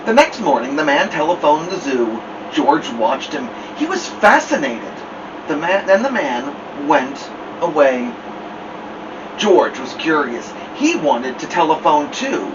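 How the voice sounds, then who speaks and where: A man reading a children's picture book aloud as a storytime host, theatrically, with drawn-out words and dramatic pauses. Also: on the high side, sing-song. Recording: distant mic, dull sound, noisy background hum.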